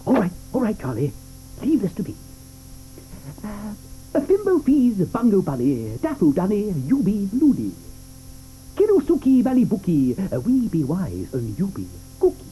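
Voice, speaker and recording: An actor playing a whimsical, confident chocolatier inventor who talks in a playful sing-song and spouts gibberish. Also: male voice, high voice, wildly swooping pitch, unhurried, warm tone, slight background noise, heavily acted, rough recording. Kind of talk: 1980s studio radio play acting.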